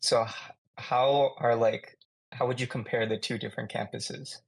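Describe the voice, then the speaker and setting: Young guy co-host, gravelly husky voice, kinda hesitant asking stuff. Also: medium pitch, fairly even intonation, moderate pace. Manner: hesitant, restarting questions. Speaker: podcast co-host. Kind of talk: casual interviewing.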